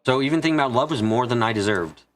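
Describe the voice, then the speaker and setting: A man's voice: medium pitch, expressive, racing, fairly bright tone. A man reading a visual novel aloud as dramatic scripted narration on a stream.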